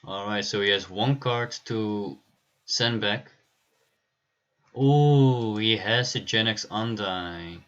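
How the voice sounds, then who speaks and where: A man hosting a livestream and commentating a card game match, relaxed and laughing easily, with frequent pauses. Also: on the low side, highs cut off. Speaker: stream host and caster.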